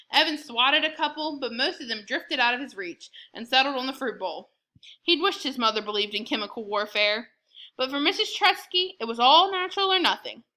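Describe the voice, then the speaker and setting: A woman reads a children's chapter book aloud as a storyteller. Her voice sits a little high and has a very bright, forward edge. The delivery is expressive and clearly scripted. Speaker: read-aloud narrator.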